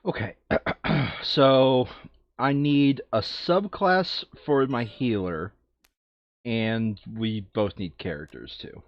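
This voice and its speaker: A man's voice: medium pitch, takes it slowly, no room sound, muffled recording. A man chatting casually and thinking aloud while he plays a board game. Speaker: board game player on a playthrough video.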